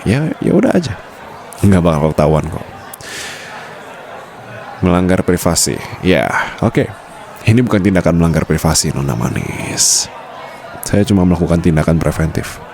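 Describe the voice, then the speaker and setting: Guy doing a suave possessive mafia boyfriend, really deep creaky voice, teasing asmr roleplay. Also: expressive, moderate pace, pauses often, darkish tone, a faint crowd, a little hiss or hum. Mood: teasing, calm. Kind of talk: Indonesian boyfriend roleplay ASMR, intimate scripted acting.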